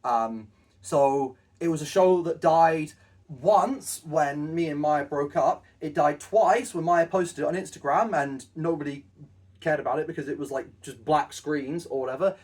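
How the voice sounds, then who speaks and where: Man, husky voice, rambling chat, restarts, far from the mic, faint music behind. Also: fairly high pitch, measured pace, darkish tone. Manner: rambling, self-deprecating, stumbling restarts. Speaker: co-host. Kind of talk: casual chat.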